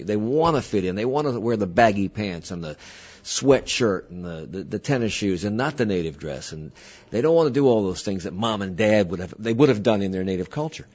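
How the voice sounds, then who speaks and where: Deep husky male talk host. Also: a little mellow, dull audio. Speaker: radio talk show host. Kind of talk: interview discussion.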